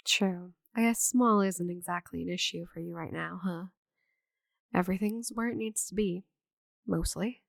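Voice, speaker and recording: A woman playing a friendly, chatty, slightly scatterbrained forest witch in an ASMR roleplay audio drama, with a gentle, theatrical manner. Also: mid-range voice, vocal fry, no room sound, clean studio sound. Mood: cheerful welcoming.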